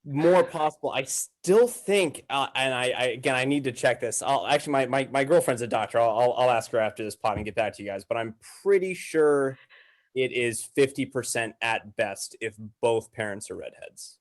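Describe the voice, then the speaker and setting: A man talking casually as a podcast guest, halting and hedging, with dry humor. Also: medium pitch.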